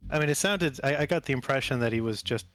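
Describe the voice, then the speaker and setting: A man's voice: mid-range voice, fast talker, a bit bright. A man playing in a tabletop game, chatting casually and thinking aloud in a hesitant way. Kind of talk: casual group chat.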